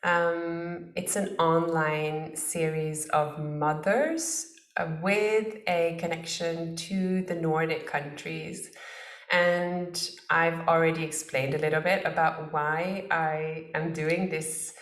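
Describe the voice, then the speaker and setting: A woman with a fairly low voice hosts a casual interview. She speaks informally and with enthusiasm. The sound is roomy, with noticeable echo around her words. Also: steady tone, takes it slowly. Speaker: host of an online interview series. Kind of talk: casual, conversational interview introduction. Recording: roomy, echoing voice.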